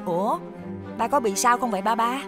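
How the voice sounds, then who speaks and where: A woman's voice: on the high side, fairly quick, natural voice. A woman voicing an eager, boastful young boy in a cartoon, with an exaggerated childlike delivery. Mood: concerned. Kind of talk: Vietnamese cartoon dubbing. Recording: music behind the voice.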